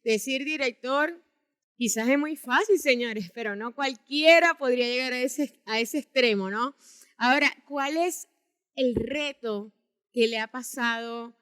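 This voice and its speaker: A woman's voice: high-pitched, unhurried, fairly bright tone, clear, resonant tone. A woman hosting an interview program, presenting and putting questions to a guest. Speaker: program co-host. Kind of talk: scripted show introduction and interview.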